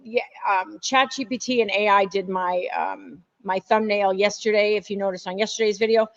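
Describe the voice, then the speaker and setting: A woman's voice: mid-range voice, steady tone, moderate pace, dry recording, lo-fi sound. A woman in her late fifties, a guest on a live craft stream, chatting over a video call with frequent laughs and agreeing replies. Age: 50s. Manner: warm agreeing backchannels, laughs often. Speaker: guest resin artist. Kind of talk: casual live-stream chat.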